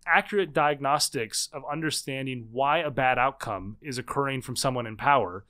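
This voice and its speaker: A man in his thirties, a guest author in a conversational interview, explaining in quick, animated bursts with frequent restarts. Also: medium pitch. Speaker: guest, political scientist and author.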